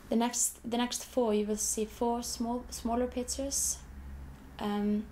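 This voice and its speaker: A woman's voice: fairly high pitch, flat delivery, takes it slowly, mellow, a little hiss or hum. An Icelandic woman who speaks English as a second language. She is an artist talking hesitantly about her photographs, often repeating words and restarting sentences. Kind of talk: interview, describing her photographs.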